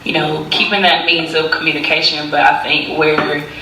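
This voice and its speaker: A young woman answering as a panelist in a measured way, with frequent fillers. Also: deep voice, little pitch movement, pushed and bright. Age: young adult. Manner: measured, uses "like" and "um" often. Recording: distant echoey hall recording with background noise.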